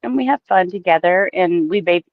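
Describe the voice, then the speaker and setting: A woman's voice: lowish voice, a little fast, no room sound. A woman in casual, playful banter as a guest on a stream, over a slightly lo-fi voice call.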